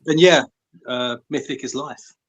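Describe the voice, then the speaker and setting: A man's voice: mid-range voice, unhurried. A man introducing himself as a guest in casual chat.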